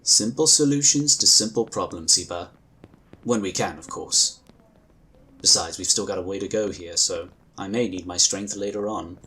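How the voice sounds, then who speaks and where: A man playing a calm, diplomatic male inquisitor who talks in a measured, courteous way. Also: sits low, rough recording. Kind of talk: fan audio drama acting.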